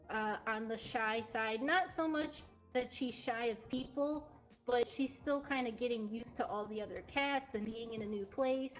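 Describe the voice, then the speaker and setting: A woman presenting in a casual, informal way on a livestream from a cat cafe. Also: fairly high pitch. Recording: dull lo-fi audio, faint music. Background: faint background music.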